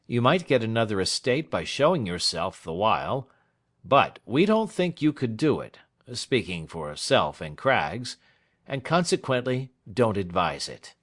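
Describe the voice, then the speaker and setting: A man's voice: on the low side, very animated, a little slow, fairly bright tone, full character voice. A man voicing a shrewd, whimsical male lawyer with a dry manner, in an audiobook reading. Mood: persuasive. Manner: dry, shrewd, prodding questions.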